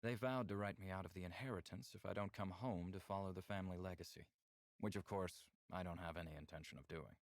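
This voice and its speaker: A reserved alien lover in a visual novel, with a very low voice in a dry, close sound. His phrasing is measured and formal, candid but composed. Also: dry recording. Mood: calm, candid. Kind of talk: visual novel character acting.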